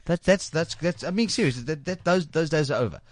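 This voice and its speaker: A man's voice: a little high, expressive, a little fast. A man with a South African accent hosting a casual radio interview, often repeating words as he goes. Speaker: radio show host. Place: radio studio.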